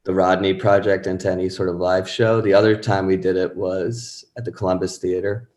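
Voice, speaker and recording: Deep-voiced man in his thirties, rambling. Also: fairly even intonation, fairly quick, darkish tone, roomy sound. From born in Pakistan, raised in Rhode Island. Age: 30s. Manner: rambling, many fillers and restarts. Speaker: musician guest. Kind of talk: casual interview chat.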